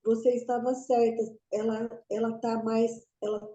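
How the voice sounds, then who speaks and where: A woman's voice: fairly high pitch, level tone, moderate pace, mellow, reverberant, muffled recording. A middle-aged woman in a study group asking a long question, rambling and restarting her sentences. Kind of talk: casual group discussion.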